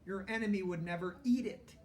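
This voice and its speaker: A man voicing a clever, secretive, reassuring father from a children's storybook in a measured, knowing manner. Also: high-pitched, a little mellow, distant mic, a little hiss or hum, natural voice.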